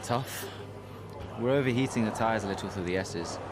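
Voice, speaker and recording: Low, understated young driver played by a man. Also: moderate pace, background noise, lightly acted. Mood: subdued. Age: young adult. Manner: terse, understated. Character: young male racing driver, earnest, subdued, modest. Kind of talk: naturalistic video game cutscene acting.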